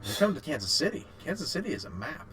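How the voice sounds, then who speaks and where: A man's voice: a little high, sing-song, quick pace, a bit bright. A man co-hosting a casual podcast chat about games and films, informal and a little sweary. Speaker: podcast co-host.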